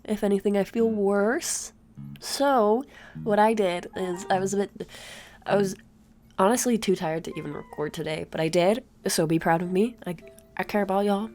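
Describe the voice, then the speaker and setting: A woman's voice: medium pitch, moderate pace, darkish tone, soft background music. A young woman with a congested, sore-throated voice, chatting casually in a voice-over about her game at a home mic. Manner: chatty, rambling, tired. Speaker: gaming YouTuber. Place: home room. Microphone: desk mic, recorded at her chair.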